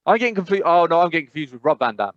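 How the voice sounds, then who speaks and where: A man's voice: fairly high pitch, big pitch swings, rapid-fire, no room sound. A man co-hosting a casual podcast, rambling with lots of fillers and restarts. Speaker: podcast co-host. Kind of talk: casual chat. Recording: dry, slightly dull sound.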